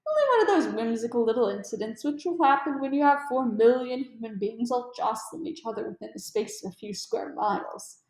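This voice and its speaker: A woman's voice: high voice, darkish tone, echoey room, poor audio. A woman voicing a keen, amused male detective with precise, formal and emphatic speech in a storybook read-aloud. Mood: amused, self-assured.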